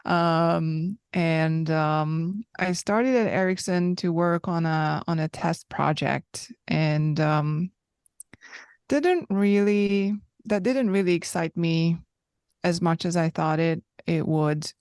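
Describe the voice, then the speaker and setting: A woman in her thirties with a low, smooth voice, recounting her story as an interview guest. She speaks slowly and reflectively, pausing often. The sound is dry and close. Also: darkish tone, dry recording. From Hungary. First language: Hungarian. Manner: thoughtful and reflective, with frequent pauses.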